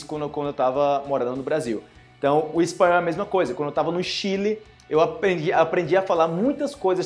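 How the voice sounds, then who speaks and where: A young man from Brazil's Northeast teaches to camera in a small indoor room, his Northeastern Brazilian accent plain and his voice sitting fairly high. He talks in an animated way, acting out short dialogues as he goes. Soft music plays faintly beneath him. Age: young adult. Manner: animated, gestures a lot, acts out dialogues. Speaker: Portuguese teacher. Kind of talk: online language lesson to camera.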